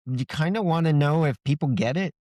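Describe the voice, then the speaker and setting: A man's voice: on the high side, brisk, a little mellow, no room sound. A man speaking as an author guest in a casual interview, earnest and reflective. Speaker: guest, indie fantasy author.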